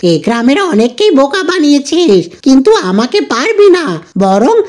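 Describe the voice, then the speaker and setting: A woman's voice: high voice, sing-song, normal speed, a little mellow. A woman voicing a hot-tempered cartoon monkey father who scolds and taunts defiantly. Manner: scolding, mocking.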